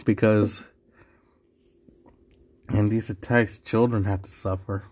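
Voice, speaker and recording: A man's voice: lowish voice, level tone, takes it slowly, a little mellow. Rambling man from Mexico, solo podcast. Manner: rambling, many restarts and asides. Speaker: podcast host. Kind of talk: casual solo podcast monologue.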